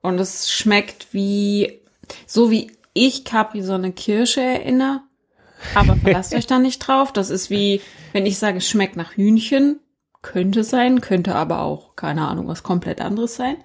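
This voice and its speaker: A woman's voice: mid-range voice, unhurried. A woman co-hosting a podcast in casual chat, chatty and self-deprecating with many fillers. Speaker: podcast co-host.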